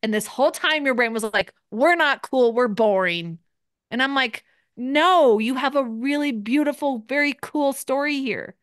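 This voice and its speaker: A woman's voice: high voice, normal speed, fairly bright tone, clear, resonant tone, no room sound, studio quality. A woman coaching people in a live group session, speaking in a direct and encouraging way. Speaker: business coach.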